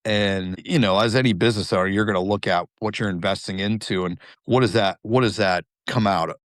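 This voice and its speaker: A man's voice: lowish voice, brisk, fairly bright tone, no room sound, clean studio sound. A man in his thirties hosting a podcast interview, friendly and enthusiastic in casual talk. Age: 30s. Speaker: podcast host.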